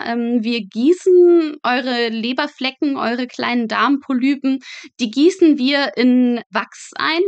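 A woman's voice: on the high side, no room sound. A young woman, a guest telling stories in a casual, chatty and emphatic interview style. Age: young adult. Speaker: podcast guest, medical student working in pathology.

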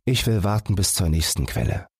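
A man's voice: low voice, lively intonation, a little fast, darkish tone, breathy edge, dry recording, studio quality, heavily acted. A man voicing a weary but determined little boy in a fairy tale, with a plaintive, earnest way of talking. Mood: impatient resolve.

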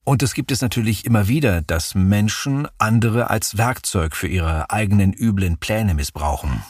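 A man co-hosting a true-crime podcast, narrating a court case in a measured, scripted way. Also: on the low side, breathy edge, no echo, clean studio sound. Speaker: podcast co-host.

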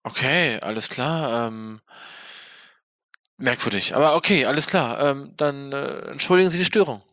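A man playing a polite, practical vampire investigator in an audio drama, thinking aloud haltingly. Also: medium pitch, fairly bright tone, no echo, clean studio sound, lightly acted. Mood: puzzled. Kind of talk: improvised role-play audio drama dialogue.

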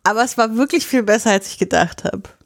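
A woman's voice: on the high side, fairly quick, creaky. Playful woman podcast co-host. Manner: playful, mixes in English phrases. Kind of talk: casual banter among friends.

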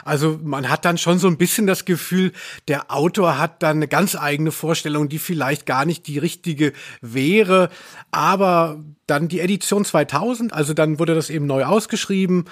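Higher-pitched man chatting on a podcast. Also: moderate pace. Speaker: podcast co-host. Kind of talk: casual podcast discussion about radio plays.

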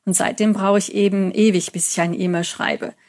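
A woman's voice: medium pitch, fairly even intonation, moderate pace, a little mellow. A German woman in her thirties, a psychology lecturer, answering an interview in a chatty way and telling stories with quoted examples.

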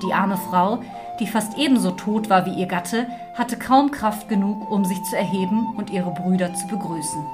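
Female narrator, mid pitch, scripted fairy-tale storytelling, music behind. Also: moderate pace.

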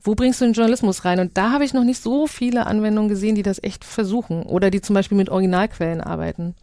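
A woman talks quickly in a mellow voice. She explains things off the cuff in a discussion, reflective and fond of rhetorical questions. The sound is close and dry. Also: mid-range voice, no room sound. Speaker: guest, immersive journalism project maker. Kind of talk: panel-style discussion, spontaneous explaining.

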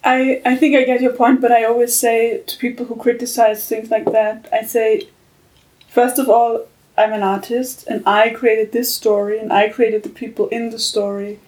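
A woman's voice: a little high, flat delivery, moderate pace, a little mellow. A woman writer speaking earnestly and reflectively in a casual podcast discussion. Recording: far from the mic.

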